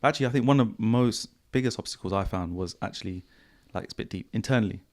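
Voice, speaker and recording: A man hosting a podcast in a casual, relaxed way, with a croaky voice and slangy, repetitive phrasing. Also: lowish voice, fast talker. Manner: relaxed, repeats himself, slangy. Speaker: podcast host. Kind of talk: casual podcast chat answering viewer questions. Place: home studio.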